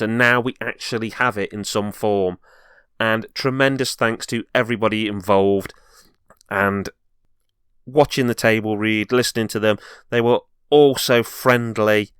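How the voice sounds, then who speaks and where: A man's voice: on the low side, fairly bright tone, clear, resonant tone, dry recording, studio quality. A man presenting a semi-scripted review as host, speaking in an earnest, enthusiastic way. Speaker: host and reviewer of horror films.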